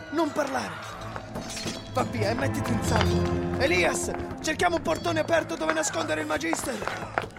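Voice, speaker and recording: Fugitive rebel for radio play male actor: desperate, urgent, fry-edged voice over a music bed. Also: very high-pitched, exaggerated voice. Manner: urgent, breathless commands. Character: desperate young rebel fleeing a massacre. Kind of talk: radio drama acting. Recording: music behind the voice.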